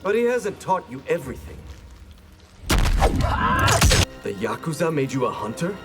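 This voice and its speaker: A wry male ninja from a fighting game. He banters in a slightly high, animated voice with an easygoing tease, over loud music. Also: measured pace. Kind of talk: video game voice acting.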